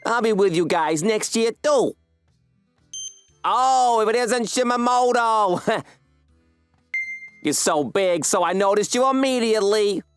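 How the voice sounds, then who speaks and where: A high-voiced, smug, taunting teacher. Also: male voice, lively intonation, slow, long pauses, faint music behind. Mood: smug, teasing. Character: mocking male high school homeroom teacher.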